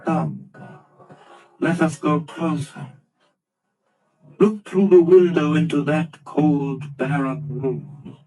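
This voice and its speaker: An actor playing a solemn spirit in a vintage radio drama, speaking gravely and asking probing questions. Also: male voice, fairly high pitch, fairly even intonation, slow pace, long pauses, warm tone, soft background music, low-quality recording. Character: solemn spirit guiding an old man.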